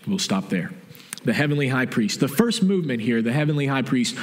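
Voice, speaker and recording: Middle-aged man, pastor, sermon, mid-pitched voice, steady explaining, echoing church hall. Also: brisk. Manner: explains calmly, restates key points. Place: church sanctuary with stage. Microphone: stage mic from a far camera. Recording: long church reverb.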